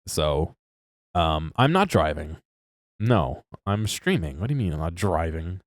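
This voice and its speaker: A man with a very low voice and a congested, stuffy sound talks casually to his stream chat. He rambles, restarts his sentences and laughs at himself. The sound is close and dry, with no room around it. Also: sing-song, a little slow, no room sound.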